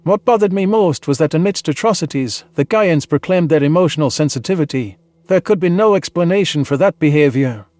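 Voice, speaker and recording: A man reading a dramatized science fiction story in the first person, earnest and measured with a dramatic delivery. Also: fairly high pitch, animated, darkish tone, dry recording. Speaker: audiobook narrator.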